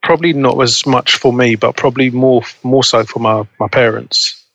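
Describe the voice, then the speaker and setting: A young man as an interview guest, chatting casually and relaxed, laughing easily. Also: medium pitch, moderate pace, creaky. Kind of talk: casual conversation.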